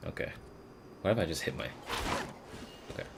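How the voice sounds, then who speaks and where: A man's voice: normal speed, background noise. A man streaming a game with casual, playful commentary, muttering reactions and swearing. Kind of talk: casual live playthrough commentary. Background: game music.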